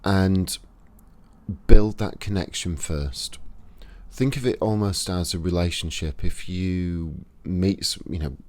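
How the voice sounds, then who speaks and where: Man, Yorkshire accent, very low voice, relaxed instructional talk, pauses and fillers. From Yorkshire, England. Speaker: esoteric practitioner and channel host. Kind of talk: casual instructional talk to camera.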